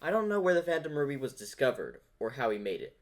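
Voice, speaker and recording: A man's voice: mid-range voice, very animated, fast talker. Male reaction host, mid-range voice, casual fan commentary with a rambling, theorizing manner.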